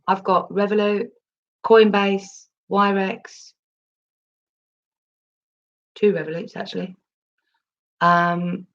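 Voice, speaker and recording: A woman with a husky voice, recovering from losing it, chatting casually on a livestream, answering her chat with lots of ums and restarts. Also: medium pitch, lo-fi sound. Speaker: crypto livestream host reading chat.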